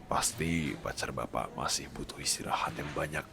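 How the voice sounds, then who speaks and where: A man playing a calm, modest hospital doctor, speaking softly and formally in a measured way for an ASMR roleplay. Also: a bass voice, gravelly, over music, pitched below the performer's own voice. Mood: calm, reassuring.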